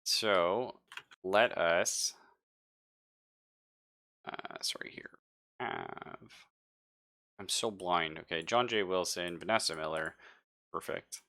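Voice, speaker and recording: A man's voice: deep voice, takes it slowly, pauses often, pushed and bright. A man narrating as game master of a tabletop roleplaying game, thinking aloud casually and hesitantly. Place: live online stream.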